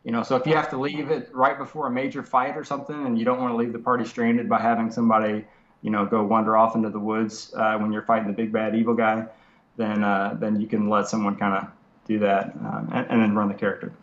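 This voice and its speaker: A man in his thirties with a nasal voice from a cold, explaining software casually over a video call from his home study, with many fillers and restarts. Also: lowish voice, flat delivery, fast talker. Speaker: software developer guest. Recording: roomy lo-fi video call audio.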